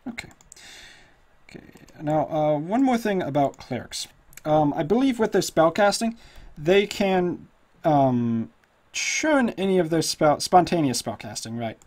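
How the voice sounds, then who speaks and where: A slightly hoarse man casually walking through a tabletop character build, thinking aloud with lots of fillers. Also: fairly high pitch, expressive, measured pace, a little mellow. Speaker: tutorial host. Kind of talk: casual screen-recorded game tutorial.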